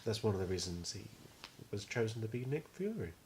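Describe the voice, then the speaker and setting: A man's voice: fairly low pitch, very animated, brisk, darkish tone, slight background noise. A young British man co-hosting a podcast, talking casually in a rambling, sweary way. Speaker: podcast co-host. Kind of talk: casual chat.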